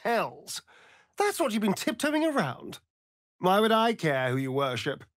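A man's voice: sits high, wildly swooping pitch, measured pace, a bit bright, dry recording, clean studio sound, exaggerated voice. An actor playing a sardonic, vain male vampire rogue in a video game, with a British accent and an arch, drawling, theatrical delivery. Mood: indignant, exasperated. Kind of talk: video game character voice lines.

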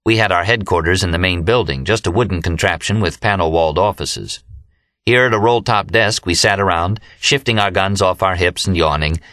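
Low-voiced American man narrating a novel. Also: a little fast, a bit bright, no room sound, studio quality. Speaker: audiobook narrator. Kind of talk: scripted first-person novel narration.

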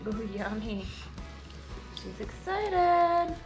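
A woman's voice: extremely high pitch, sing-song, drawn-out words, lo-fi sound. A woman in her thirties with an American accent, chatting casually and cheerfully to a family vlog camera with gushing exclamations. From United States. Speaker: family vlogger and mother. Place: handheld family camera in car, house and yard. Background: background music.

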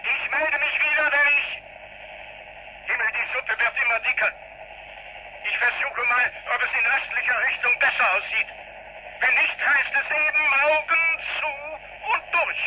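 An actor playing a determined priest piloting a rescue flight in a radio play, speaking over a radio link. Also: male voice, very high voice, normal speed, pauses often, bright, forceful tone, exaggerated voice, rough recording. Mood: tense, resolute. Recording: faint noise, 1980s radio drama.

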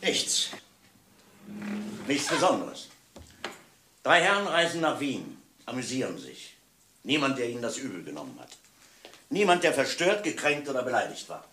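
A man playing a stern, methodical police inspector in a German radio crime drama, speaking emphatically and deliberately. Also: mid-range voice, wildly swooping pitch, pushed and bright, slight background noise. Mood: explaining firmly. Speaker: actor in a radio crime play.

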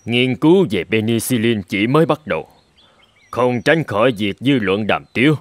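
A man voicing an earnest, hopeful middle-aged professor of medicine in a dramatic cartoon dub, speaking in a measured, solemn way. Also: mid-range voice, expressive, no room sound, lightly acted. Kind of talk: dramatic animated-film dubbing.

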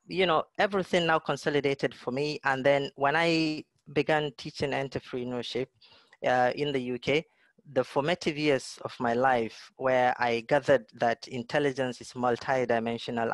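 A middle-aged man delivers a lecture over a video call from indoors. His voice sits at an ordinary middle pitch and sounds dry and close, with no room echo. He talks in a thoughtful, searching way, often saying "you know" and repeating words. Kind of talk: online lecture with slides. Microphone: video call webcam.

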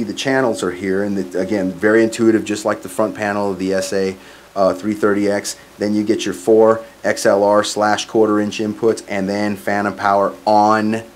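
Male, 40s, lowish voice, informal musician reviewing live sound gear, clear background noise on the recording. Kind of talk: casual product demo. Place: small curtained home studio, indoors. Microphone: microphone on boom stand into PA, plus some room sound.

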